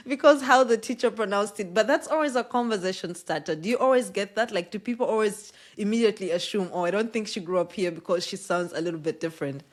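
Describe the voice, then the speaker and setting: A woman from East Africa hosting a podcast interview, chatty and quick to laugh. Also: mid-range voice. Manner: chatty, laughs often, lively storytelling. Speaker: podcast host. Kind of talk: casual interview chat.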